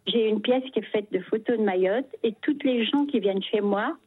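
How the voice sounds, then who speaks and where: A woman's voice: on the high side, no room sound. Older woman on a muffled phone line. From Paris, France. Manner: halting, phrases broken into short pieces. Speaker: phone-in caller. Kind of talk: personal testimony, reminiscing. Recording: muffled telephone line, husky sound.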